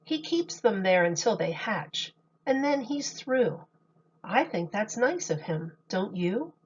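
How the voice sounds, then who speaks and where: A woman teacher reading a picture book aloud to young children in a deliberate, expressive storytelling manner. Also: medium pitch, muffled recording, a little hiss or hum.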